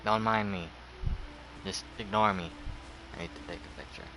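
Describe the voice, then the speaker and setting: Low-voiced man rambling over gameplay. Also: moderate pace. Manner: rambling, muttering to himself. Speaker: gameplay streamer. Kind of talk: casual gameplay commentary. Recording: noisy mic over game audio.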